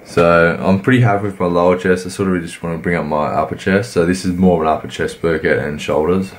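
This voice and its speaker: A man, croaky from a cold, giving laid-back, rambling voice-over commentary on his workout. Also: low voice, steady tone, quick pace. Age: 20s. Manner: laid-back, rambling, many fillers and restarts. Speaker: fitness vlogger.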